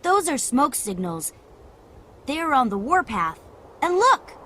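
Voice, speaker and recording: A woman voicing a bold, confident boy adventurer who leads other children in an animated children's story, speaking in a commanding, dramatic way. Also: sits high, slow pace, a little hiss or hum, heavily acted. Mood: alert, warning.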